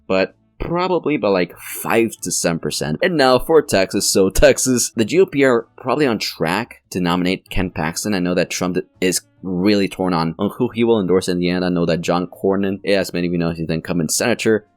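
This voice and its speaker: Deep-voiced man with a strained voice. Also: a little fast, hardly any pauses, no room sound, soft background music. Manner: rambling, self-correcting, with frequent fillers. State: voice strained and sore. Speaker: political channel host. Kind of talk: casual election prediction commentary.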